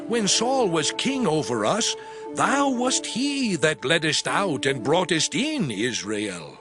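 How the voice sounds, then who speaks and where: A slow, high-voiced reverent elder. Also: male voice, full character voice. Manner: stately, declamatory. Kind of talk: dramatized scripture audiobook acting.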